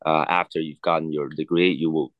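A man in his thirties from China, a native Cantonese speaker, talking haltingly as an interview guest with many fillers and restarts. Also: extremely low pitch, flat delivery, no room sound, lo-fi sound. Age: 30s. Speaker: guest, watch collector. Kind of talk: casual interview chat.

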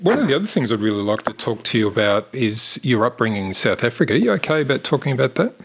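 A man hosting an interview in a relaxed, casual way, giving short encouraging responses. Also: mid-range voice, fast talker, slightly rough. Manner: relaxed, brief backchannels. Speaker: podcast host.

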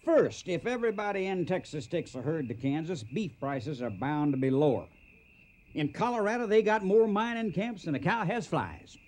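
Firm, folksy trail boss, higher-pitched Western drawl with animated swings, radio drama. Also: male voice, normal speed. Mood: persuasive. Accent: American Western drawl. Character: determined, plain-spoken Texas cattle boss.

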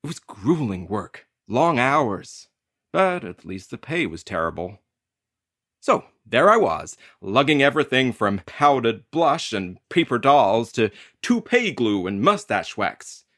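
A man's voice: mid-range voice, sing-song, unhurried, fairly bright tone, no room sound, studio quality. An actor playing a hapless, anxious delivery carrier who tells his creepy tale with wry, theatrical drama in an audio play. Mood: wry, weary, then wry, brisk. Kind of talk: dramatic first-person narration in an audio play.

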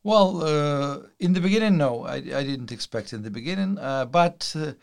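Creaky-voiced man, hesitant and deliberate. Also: a little high, lively intonation. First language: Russian. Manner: hesitant, deliberate phrasing with repeated words. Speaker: writer being interviewed. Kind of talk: conversational interview answers.

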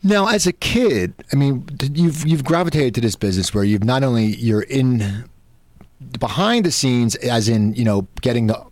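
A man's voice: mid-range voice, animated, moderate pace, darkish tone, no echo, clean studio sound. A man hosting a casual radio talk show, rambling, with frequent stammered restarts. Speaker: host.

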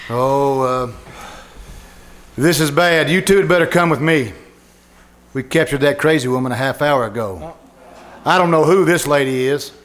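Guy doing a small-town police officer, old radio thriller, official, voice a bit high, live recording with some noise. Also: normal speed, pauses often, a bit bright, natural voice. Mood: dismayed. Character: grave local police officer on night patrol. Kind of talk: old-time radio suspense acting. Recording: live stage performance with background noise.

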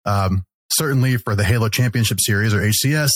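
A man with a Texan accent co-hosting a podcast as an attorney, introducing a guest in a friendly, measured way. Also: on the low side, no room sound, studio quality. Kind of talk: podcast interview.

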